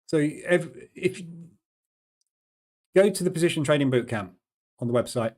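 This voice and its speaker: Male host of a live trading room, relaxed and warm in tone, talks it through conversationally. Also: fairly high pitch, normal speed. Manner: chatty, explains plainly with "yeah" tag questions.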